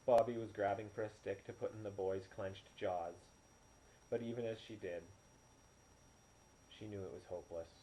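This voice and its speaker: A man reads fiction aloud like an amateur audiobook. His voice is lowish and notably dark and soft-edged. The home recording is poor, with faint noise. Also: steady tone, normal speed, pauses often. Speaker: amateur storyteller. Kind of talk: audiobook narration.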